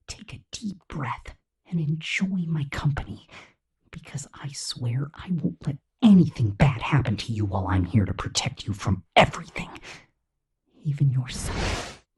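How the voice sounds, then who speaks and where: A woman voicing a sweet, obsessively protective killer robot captor, coaxing and fussing softly in a breathy ASMR roleplay. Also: low voice, exaggerated voice. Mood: tender, unsettling. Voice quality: breathy, often slipping into whisper. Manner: coaxing, falsely cheerful, fussing. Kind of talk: soft-spoken ASMR roleplay monologue.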